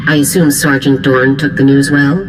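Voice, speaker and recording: An actress playing a stern middle-aged female general in a video game, speaking in a formal, measured, authoritative way. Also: very deep voice, little pitch movement, low-quality recording. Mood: measured authority. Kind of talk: video game cutscene dialogue.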